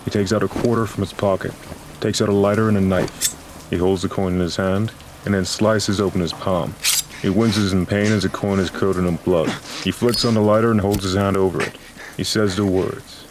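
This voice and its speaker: A man's voice: sits low, steady tone, normal speed, background noise. A man reading scripted noir horror narration as a grave, dramatic storyteller. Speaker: narrator and voice actor. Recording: music and rain behind voice.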